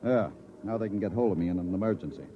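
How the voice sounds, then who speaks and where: An actor playing a brisk, duty-bound chief engineer with a clipped, authoritative manner in a 1950s radio drama. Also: male voice, low voice, slight background noise, lightly acted. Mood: businesslike. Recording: dull, muffled 1950s radio recording.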